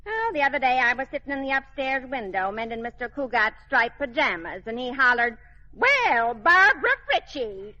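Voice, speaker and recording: A gossipy, good-humored maid in a vintage radio comedy. She has a high, sharp, bright voice on a dull old recording. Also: sing-song, normal speed, slight background noise, full character voice. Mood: cheerfully gossipy. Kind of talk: 1940s radio comedy acting.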